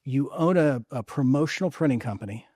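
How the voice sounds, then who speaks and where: A man's voice: mid-range voice, lively intonation, fairly quick, a little mellow, no echo. Upbeat male podcast host, close dry sound. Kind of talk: conversational podcast interviewing.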